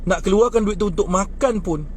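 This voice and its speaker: A man's voice: fairly quick, slight background noise. A man in his twenties with a Malaysian Malay accent, talking indignantly about politics in a casual outdoor chat by a bus stop. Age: 20s. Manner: animated and indignant, rising in pitch when worked up. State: short on sleep. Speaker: young man airing political views. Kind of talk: casual street chat, speaking to others nearby.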